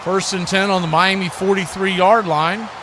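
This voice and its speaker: Higher-pitched male football play-by-play announcer, noisy background. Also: fairly even intonation, normal speed. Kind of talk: live radio football play-by-play commentary. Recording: clear background noise.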